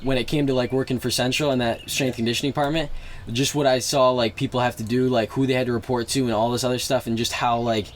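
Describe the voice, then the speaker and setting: A man with an average-pitched voice chats casually as a podcast host. His delivery is informal and agreeable. There is clear noise in the background of the recording. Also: flat delivery, quick pace. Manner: agreeable, frequent short interjections. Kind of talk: casual chat.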